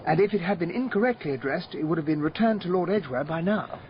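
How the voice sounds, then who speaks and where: An actor playing a loyal, plain-spoken gentleman sidekick in a radio drama, with an English accent. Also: on the high side, expressive, fast, dull audio, slight background noise, close to an everyday voice. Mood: inquiring.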